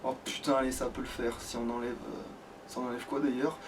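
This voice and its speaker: A man's voice: medium pitch, flat delivery, fast, gravelly, recorded from a distance, slight background noise, poor audio. A man hosting a let's play, chatting casually, swearing and grumbling as he plays. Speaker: let's play host, playing the game. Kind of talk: casual gaming chat.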